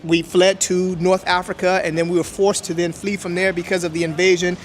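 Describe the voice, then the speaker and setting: A man's voice: high voice, little pitch movement, fairly quick, faint music behind. A man whose first language is Hebrew, explaining history emphatically in an interview outdoors. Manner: emphatic, lecturing, with many "right?" checks. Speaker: interview guest.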